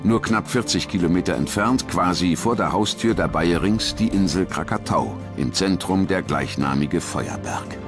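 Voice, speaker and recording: A man narrates a documentary in a very low voice. His delivery is grave and measured, with scripted drama. Clear music plays behind him. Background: background music.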